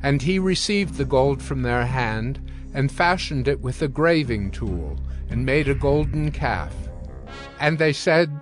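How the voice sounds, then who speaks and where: Mid-pitched male scripture narrator. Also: lively intonation, unhurried, over music.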